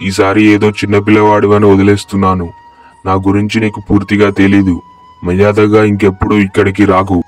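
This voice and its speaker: A man's voice: fairly low pitch, monotone, a little fast, faint music behind, close to an everyday voice. A man playing a proud, blunt village farmer in a cartoon moral story. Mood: scolding. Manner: boastful, blunt, colloquial. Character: arrogant, scolding village farmer who later repents.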